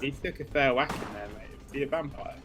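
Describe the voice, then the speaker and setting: A man's voice: medium pitch, fast, loud music behind the voice. A man co-hosting a gaming video in casual banter, joking dryly and swearing now and then. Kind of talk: casual banter over gameplay.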